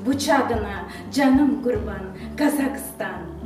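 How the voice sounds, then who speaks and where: Fervent slow high-voiced woman reciting. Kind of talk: poetry recitation over music. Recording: echoey room, far from mic, music behind.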